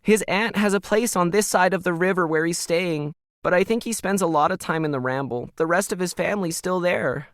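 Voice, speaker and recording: A man's voice: sits high, a little fast, few pauses, fairly bright tone, dry recording, studio quality, voice pushed up. A man voicing an earnest, upset teenage daughter in an audio story, pleading and tearful. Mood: surprised, earnest. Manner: tearful, protesting. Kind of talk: audio fiction character dialogue.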